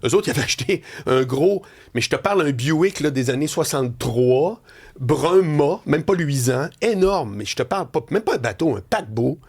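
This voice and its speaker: A Quebec man with a gravelly, slightly husky voice and a lively pitch that swings up and down. He is a middle-aged radio talk host who tells stories with lots of animation. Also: normal speed. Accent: Quebec French. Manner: storytelling, laughing, swinging pitch. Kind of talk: animated editorial chat. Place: radio studio.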